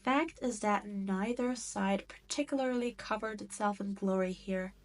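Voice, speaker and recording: A woman's voice: mid-range voice, lightly acted. A woman voicing a cruel, haughty demon queen in a roleplay audio drama, with a teasing, condescending manner. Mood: dismissive, exasperated. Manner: slow, teasing, condescending drawl with theatrical emphasis.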